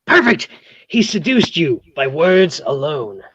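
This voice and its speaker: A woman acting a nosy, motherly confidante hungry for romance, husky and theatrical in a comic play read. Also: low voice, very animated, slow, dry recording, exaggerated voice. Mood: thrilled, breathless. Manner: theatrical, breathlessly prying. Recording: dull lo-fi, close dry sound.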